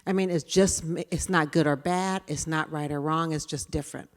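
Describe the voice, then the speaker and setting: A woman in her fifties with a quiet voice, giving a conversational workshop talk full of personal stories. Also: sits low, steady tone, normal speed. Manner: storytelling, conversational. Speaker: diversity trainer.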